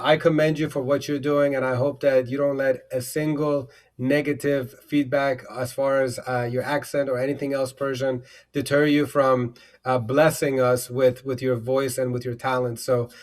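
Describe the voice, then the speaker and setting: A man hosting a livestream over a video call, talking in an effusive, rambling way with many fillers and restarts. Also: mid-range voice. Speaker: livestream host. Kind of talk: casual livestream chat.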